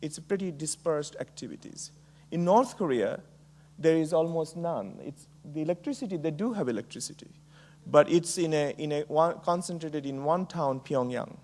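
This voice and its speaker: A middle-aged Bangladeshi man delivers a keynote talk, his voice sitting slightly high for a man. He speaks in a measured way, pausing on frequent ums and uhs. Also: expressive, a little mellow. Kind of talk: conference keynote speech.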